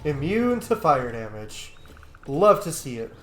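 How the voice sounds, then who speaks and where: A man's voice: fairly high pitch, very animated, unhurried, echoey room, background noise. A man giving casual, profane let's play commentary on a roleplaying video game.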